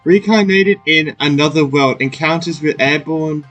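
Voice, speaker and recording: A woman's voice: sits low, normal speed, faint music behind, low-quality recording. A woman doing casual let's play commentary on a game, reacting in short exclamations. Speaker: gamer playing through a story quest.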